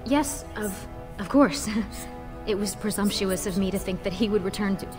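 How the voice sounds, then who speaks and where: An actress playing a timid, apologetic young mistress in a fantasy game, flustered and submissive. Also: female voice, medium pitch, moderate pace, over music, close to an everyday voice. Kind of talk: fantasy video game dialogue.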